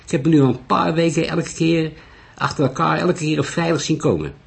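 A man voicing an upset, blunt brothel landlady who talks in a quick, indignant, colloquial way, in an audiobook story. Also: fairly high pitch, steady tone, creaky, slightly rough, pitched above the performer's own voice. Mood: agitated.

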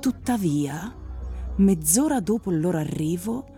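A woman's voice: fairly low pitch, animated, a little slow, mellow. A woman reading scripted story narration for a radio drama. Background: music.

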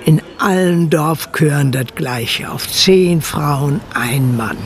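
An actor narrating a radio drama as a wry, dry village chronicler, with a gravelly voice. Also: male voice, fairly high pitch, takes it slowly, soft background music.

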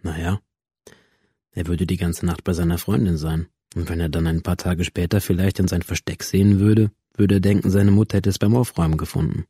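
Low, bitter, withdrawn young man. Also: fairly even intonation, a little fast, mellow, breathy edge, no echo, studio quality. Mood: matter-of-fact, guarded. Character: bitter, withdrawn young man recounting his past to a doctor. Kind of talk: radio drama, introspective first-person narration.

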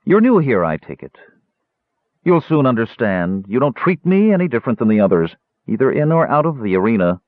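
A man's voice: mid-range voice, very animated, a little fast, dry recording, lightly acted. Throaty proud woman fighter, curt and cold, voiced by a male narrator, tinny dry audio. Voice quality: throaty, odd accent. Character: proud fierce beautiful woman gladiator.

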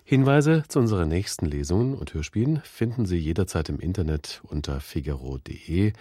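A man's voice: low-pitched, lively intonation, brisk, dark tone, husky, no echo. A man reading a scripted closing announcement as a radio announcer, close to a studio microphone.

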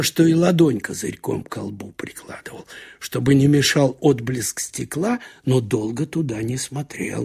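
A man narrating a literary short story in an expressive, storytelling way with wry colloquial asides. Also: medium pitch, creaky, husky. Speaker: narrator reading short fiction. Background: music.

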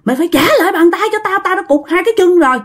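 A woman's voice: extremely high pitch, animated, normal speed, bright, forceful tone, pitched above the performer's own voice, heavily acted. A woman voicing a vengeful dead old man in a ghost story, snarling threats and demanding his severed hand back over and over. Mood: furious, threatening. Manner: snarling, menacing, repeats threats.

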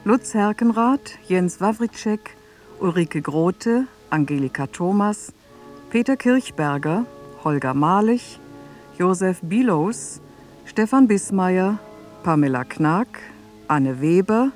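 A woman's voice: on the low side, lively intonation, slow, darkish tone, faint music behind. A woman announcer reading scripted credits for a radio play.

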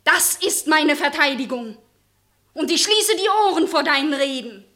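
A woman's voice: high-pitched, animated, moderate pace, sharp tone. A woman acting in a literary radio play as a proud, defiant young lover, protective and declamatory. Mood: fiercely determined. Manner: declamatory, emphatic.

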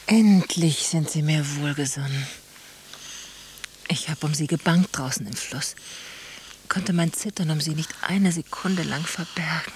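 A seductive woman in a radio play, her voice very low and unhurried. She courts with formal, teasing words, ornately phrased. A faint hiss lies under the recording. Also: darkish tone. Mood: tender, sensual. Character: Marianne, seductive, courtly woman flirting with another woman. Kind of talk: German radio play acting.

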